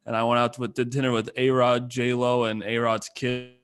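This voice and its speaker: A man with an average-pitched voice chats as a podcast co-host. He tells stories in loose, animated sports banter and swears freely. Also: normal speed.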